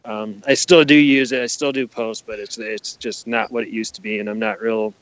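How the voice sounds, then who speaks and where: A man's voice: lowish voice, little pitch movement, normal speed, no echo. A man chatting casually as a podcast guest, easygoing and a bit tentative. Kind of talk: casual interview chat.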